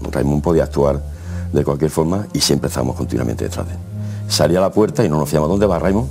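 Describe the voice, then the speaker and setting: Older male interviewee, very deep and mellow, casual recollection. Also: fast, vocal fry. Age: middle-aged or older. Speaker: retired-era police bodyguard, interviewee.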